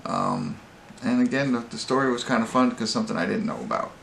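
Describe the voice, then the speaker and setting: A man hosting a casual, off-the-cuff movie review, speaking in a halting, rambling, conversational way. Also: mid-range voice, wildly swooping pitch, gravelly, a bit bright. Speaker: host of a movie review show. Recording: roomy echo, background room noise.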